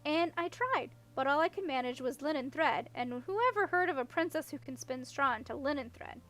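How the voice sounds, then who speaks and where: A woman's voice: high-pitched, expressive, normal speed, a bit bright. A woman voicing an awkward, unlucky young princess in a storybook, earnest and rambling as she explains herself. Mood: earnest storytelling. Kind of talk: audiobook character voice.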